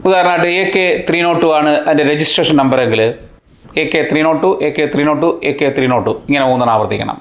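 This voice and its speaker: A man narrates a scripted explainer in a fairly high voice. He delivers it in deliberate, short phrases and poses rhetorical questions as a teacher would. The sound is noticeably roomy, with a clear echo. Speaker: host of a science explainer channel.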